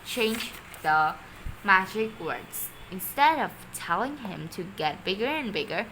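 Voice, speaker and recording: A woman's voice: mid-range voice, sing-song, a little slow, bright, forceful tone, slight background noise, poor audio. A woman playing a polite, eager storybook man who earnestly advises his neighbour. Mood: reassuring.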